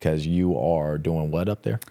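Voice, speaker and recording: A man's voice: extremely low pitch, a little slow, creaky, warm tone. A man in his thirties hosting a podcast, giving encouraging slangy replies in casual chat. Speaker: podcast host. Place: small studio set with wooden tables. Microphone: boom-mounted studio mic.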